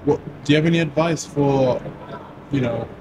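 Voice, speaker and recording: A man's voice: medium pitch, moderate pace, a little mellow. A man asking questions casually as a street interviewer. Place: outdoors in a city street at night. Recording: noisy street with traffic ambience.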